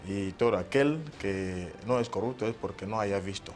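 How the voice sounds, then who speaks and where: A man from Equatorial Guinea speaking as a guest on a studio panel, giving measured advice and quoting proverbs. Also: lowish voice, a little mellow, soft background music.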